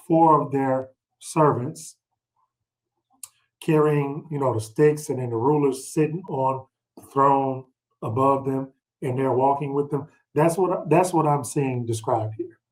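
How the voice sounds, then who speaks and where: A man's voice: mid-range voice, darkish tone, low-quality recording. A man leading an informal scripture study, reading verses aloud and explaining them, often checking in with questions. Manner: explanatory, prompts listeners with questions and "right?".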